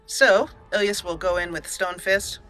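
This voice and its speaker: A cheerful woman commentating her own game playthrough, chatty and upbeat, now and then reading out the game's text as a story. Her voice is bright and forceful, with faint game music playing softly behind her. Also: on the low side, a little slow. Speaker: let's play host. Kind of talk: casual gameplay commentary with roleplay narration.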